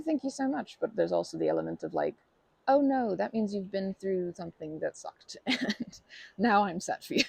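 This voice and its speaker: A woman performs a timid, gentle park regular offering comfort in an intimate ASMR roleplay. The voice is soft and dark-toned, husky and full of gravelly creak. The delivery is hesitant, with stammers and nervous self-corrections throughout. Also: on the low side, no room sound, heavily acted. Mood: gentle, empathetic. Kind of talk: ASMR roleplay, improvised-sounding intimate monologue.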